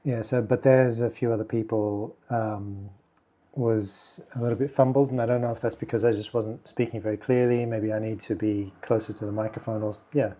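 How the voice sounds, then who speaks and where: A man hosting a casual software tutorial, speaking quietly and thinking aloud with many fillers as he works. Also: lowish voice, fairly even intonation, fairly quick. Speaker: video tutorial host. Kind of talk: casual screen-recording tutorial.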